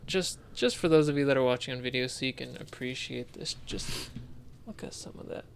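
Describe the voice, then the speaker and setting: A man's voice: mid-range voice, brisk, creaky, slight background noise. A man talking casually as a podcast co-host. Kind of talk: casual chat.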